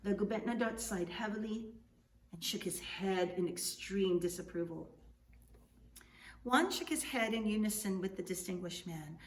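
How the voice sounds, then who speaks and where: A woman reading a storybook aloud expressively, with deliberate, dramatic phrasing and drawn-out words. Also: medium pitch. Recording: echoey room, distant mic, faint noise.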